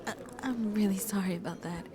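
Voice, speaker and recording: A woman playing a shy, gentle century-old vampire who seems in her twenties, soft-spoken and hesitant in an ASMR roleplay, often dropping to a near-whisper. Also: mid-range voice, noisy background, lightly acted. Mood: sheepish, apologetic. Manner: hesitant, apologetic, halting, breathy near-whispers.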